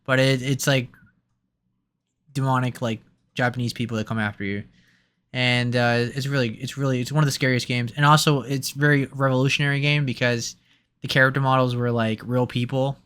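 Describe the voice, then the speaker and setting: A man's voice: mid-range voice, a bit bright, clear, resonant tone. A man co-hosting a gaming podcast, chatting casually and enthusiastically with stumbling restarts. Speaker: podcast co-host. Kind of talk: casual chat.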